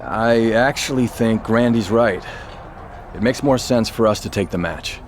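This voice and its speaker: A man playing a laid-back wrestler and loyal teammate, gravelly, in scripted video game dialogue. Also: on the low side, unhurried, slight background noise, lightly acted. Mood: matter-of-fact.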